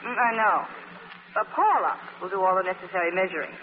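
An actress playing a brisk, poised career woman who manages everyone, in 1940s radio comedy style. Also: medium pitch, in a large hall, lightly acted. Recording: noisy, hollow, narrow-band old radio broadcast.

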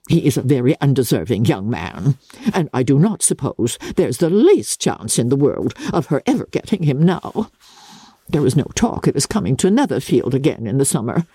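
A woman's voice: low-pitched, sing-song, moderate pace, dark tone, husky, dry recording, heavily acted. A woman voicing a fussy, querulous middle-aged mother who chatters fretfully and self-pityingly in a dramatic novel reading. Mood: aggrieved.

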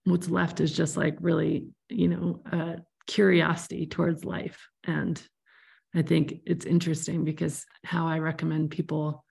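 A woman with a low, very creaky voice hosts a conversational podcast interview. She sounds husky and speaks in a reflective, appreciative manner. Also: level tone, unhurried, a little mellow. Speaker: podcast host.

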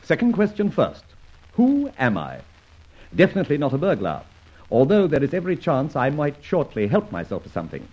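An actor playing a suave, self-assured gentleman adventurer with an arch, taunting manner, in the style of a vintage radio serial. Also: a little high, wildly swooping pitch, brisk, slightly rough, exaggerated voice. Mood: mocking, confident. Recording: muffled old radio recording.

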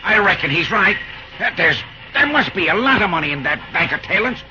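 A man acting a folksy, excitable, loyal railroad engineer with an American accent and rustic slang in an old radio drama. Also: high-pitched, a little fast, full character voice. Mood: keen, agreeable. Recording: muffled, very noisy old radio recording.

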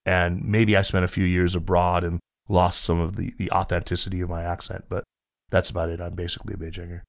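Deep-voiced man, reflective and halting. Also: little pitch movement, vocal fry. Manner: reflective, many restarts and hedging fillers. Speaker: podcast guest. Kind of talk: casual conversation.

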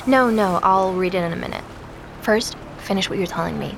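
An actress playing a curious, skeptical woman investigator in an audio drama, speaking in a measured, cautious way. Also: medium pitch, vocal fry, noisy background, natural voice. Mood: guarded. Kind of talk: audio drama narration and naturalistic dialogue.

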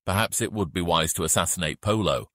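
A man voicing a calculating deputy assassin in a fantasy audiobook, measured and restrained in manner. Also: deep voice, fairly bright tone, dry recording, studio quality. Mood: wary deference.

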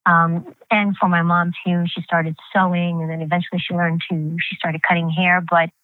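A woman's voice: on the low side, little pitch movement, moderate pace, dry recording. Candid woman on a tinny line. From New York, United States. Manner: candid, reflective, frequent fillers and restarts. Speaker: guest. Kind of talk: personal storytelling in an interview. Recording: tinny phone-like line, dry.

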